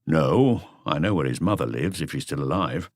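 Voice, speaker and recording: A man voicing a calm, laconic senior police detective in an audiobook, terse and authoritative. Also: extremely low pitch, moderate pace, dry recording, studio quality, pitched below the performer's own voice. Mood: probing. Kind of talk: audiobook character dialogue.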